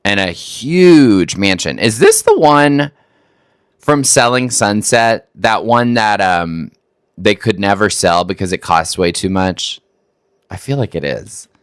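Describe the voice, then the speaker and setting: A man's voice: on the low side, animated, slow pace, clean tone, no room sound, clean studio sound. A man from Texas hosting a reaction video, making wry, skeptical remarks that stumble over restarts. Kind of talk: casual commentary on a clip.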